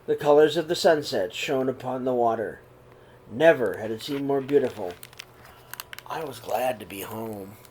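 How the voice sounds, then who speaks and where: A middle-aged man reading a children's picture book aloud in his living room, then talking warmly to young listeners, with a gentle, unhurried pace and the odd throat clear. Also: mid-range voice, slight background noise, rough recording. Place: living room, seated on a sofa before curtains. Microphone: webcam or laptop camera at close range.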